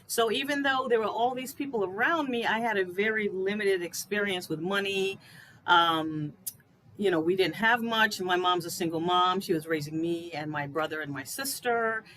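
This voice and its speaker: A woman speaks as a podcast guest in a mid-pitched voice. She tells her story earnestly, laughing often and leaning on fillers. A faint noise sits behind her. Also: normal speed, fairly bright tone, poor audio. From New York. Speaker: guest, wealth coach. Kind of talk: casual interview storytelling.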